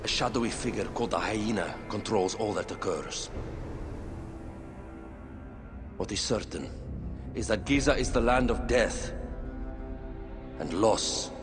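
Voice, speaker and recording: Casting: man to play courtly political adviser, ancient Egypt game. Lowish voice, measured, formal, discreet, music underneath. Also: lightly acted. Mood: ominous, explanatory. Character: composed, courtly male royal adviser plotting in ancient Egypt. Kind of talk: dramatic video game acting.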